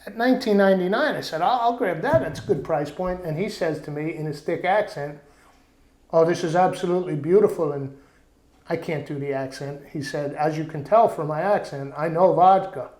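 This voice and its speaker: A man rambles through a home cooking vlog as its host, telling loose stories. His voice sits slightly high. The sound is roomy and echoey. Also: a little fast, a little mellow. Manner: rambling, chatty storytelling. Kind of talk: casual vlog chat. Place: outdoor backyard kitchen.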